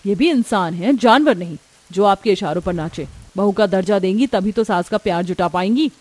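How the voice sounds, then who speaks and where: A woman voicing a brash, playful, outspoken young daughter-in-law in a story, with a lively manner. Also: mid-range voice, normal speed, no room sound, close to an everyday voice. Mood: indignant.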